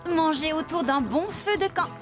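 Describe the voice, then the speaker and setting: A woman voicing a cheerful, enthusiastic young woman farmer in a children's cartoon, with a lively, expressive delivery. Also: sits high, normal speed, over music, lightly acted. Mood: curious, dreamy.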